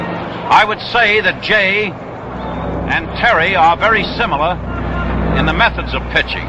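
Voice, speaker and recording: A man calling baseball play-by-play on 1960s radio in a measured, explanatory way, repeating himself deliberately. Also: on the high side. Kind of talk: live 1960s radio sports commentary. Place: outdoors at a baseball stadium. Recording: noisy vintage outdoor radio broadcast.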